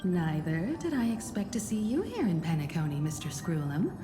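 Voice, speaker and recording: A woman's voice: lowish voice, very animated, normal speed. An actress playing a poised, polite, knowing woman who speaks formally and with composure. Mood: composed, polite. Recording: echoey, game music behind.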